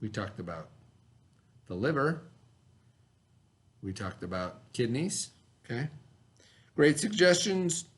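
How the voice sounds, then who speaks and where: A man's voice: medium pitch, very animated, slow pace, long pauses. A man teaching a read-aloud lesson for children, speaking instructively, repeating key words and prompting with questions.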